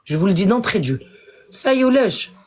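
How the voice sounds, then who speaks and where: A woman's voice: mid-range voice, sing-song, a little fast. A woman from France ranting on a live stream, scolding her commenters in an indignant, confrontational way. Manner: indignant, confrontational, swings between shouting and muttering; addresses viewers directly, scolds commenters.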